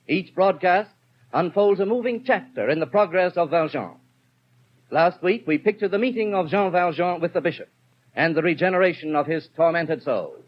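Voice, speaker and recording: A male announcer with a high-set voice delivers a scripted introduction in formal 1930s radio style. His delivery is measured, and the old broadcast sound is dull and muffled.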